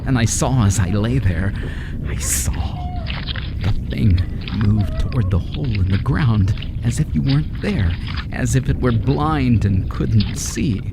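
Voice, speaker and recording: An actor playing a terrified, guilt-ridden man sliding into madness in an old-time radio horror drama, his monologue anguished, halting and melodramatic. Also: mid-range voice, expressive, vocal fry. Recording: heavy noise under the voice.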